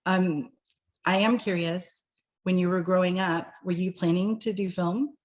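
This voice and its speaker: A woman's voice: lowish voice, steady tone, measured pace, highs cut off. A woman interviewing a film director over a video call, affirming his answers and recapping scenes from his film. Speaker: festival interviewer.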